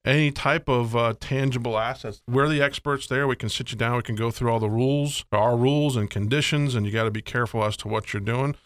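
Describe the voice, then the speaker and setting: A man's voice: mid-range voice, steady tone, brisk, hardly any pauses, no echo. American radio host, man with a dry sound. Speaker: financial advisor hosting a radio show. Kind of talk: conversational financial radio talk.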